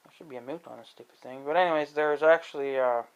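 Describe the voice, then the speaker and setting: Man, smooth clean voice, casual home demo, rambling, halting, informal. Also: fairly high pitch, lo-fi sound. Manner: halting, informal, thinking aloud. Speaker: amateur video creator demonstrating an app. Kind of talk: casual rambling demonstration to viewers. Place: indoors in own room at home.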